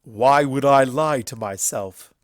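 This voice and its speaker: A narrator voicing an angry, deluded male alicorn stallion in a story reading, shouting and incredulous. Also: medium pitch, takes it slowly, no echo, studio quality, natural voice. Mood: defiant fury.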